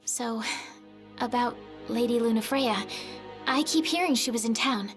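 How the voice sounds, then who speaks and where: A woman acting a friendly young game heroine. Her fairly high voice is gentle and earnest, like an everyday chat with someone she cares about. Music plays clearly behind her. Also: measured pace, natural voice. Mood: caring, earnest. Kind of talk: English video game voice acting.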